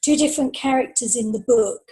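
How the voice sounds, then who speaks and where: A woman's voice: a little high, a little fast, warm tone. A woman, an author on a podcast panel, chatting casually. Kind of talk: casual chat.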